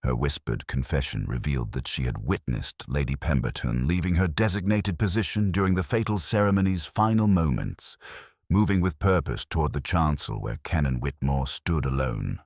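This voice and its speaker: A man with an extremely low, deep voice narrates a mystery story. His delivery is formal and measured, yet his pitch moves in a lively way. The sound is dry and close, with no room around it. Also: no room sound. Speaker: audiobook narrator.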